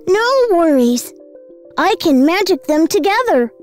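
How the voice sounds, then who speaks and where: A tiny, very high child's voice for an animated adventure. The delivery is sweet, earnest and caring. It takes its time, with lively swings of pitch. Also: female voice, measured pace, soft background music, heavily acted. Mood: caring, upbeat. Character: gentle, caring young child in a magical cartoon adventure. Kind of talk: animated children's story acting.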